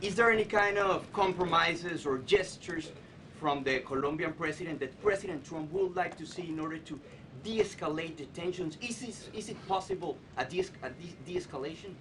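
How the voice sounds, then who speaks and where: A man's voice: moderate pace, a bit bright. A man reporter asking a question at a press briefing, hesitant and stumbling over words. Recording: distant voice with clear rumble.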